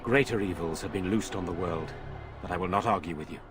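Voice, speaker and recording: An actor playing an earnest, resolute noble lord giving orders in a video game. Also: male voice, low-pitched, a little fast, lightly acted. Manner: earnest, steady, commanding.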